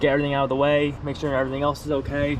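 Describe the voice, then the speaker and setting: A man's voice: fairly high pitch, completely flat delivery, very fast, noisy background. A man narrating casually while he takes apart a wrecked car, rambling and correcting himself, with a congested voice. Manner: rambling, informal, self-correcting as he works. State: congested after being sick. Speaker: vlogger working on his race car.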